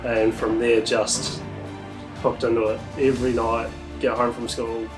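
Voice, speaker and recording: A man's voice: mid-range voice, little pitch movement, a little slow, rough recording. A thirty-year-old man, eagerly recalling his start in racing for a documentary interview. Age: 30s. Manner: enthusiastic, rambling recollection. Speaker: drift driver interviewee. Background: guitar music.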